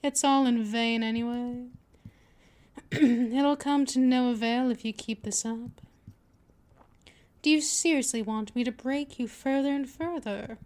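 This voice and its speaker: A woman acts out a seductive vampire mistress in an intimate ASMR audio roleplay. Her voice sits on the high side and she draws her words out very slowly. She is patient and possessive, talking down to the listener in a teasing, coaxing way. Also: fairly even intonation, a little mellow, lightly acted. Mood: coaxing, hypnotic. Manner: slow, coaxing, condescending, drifting into whispers.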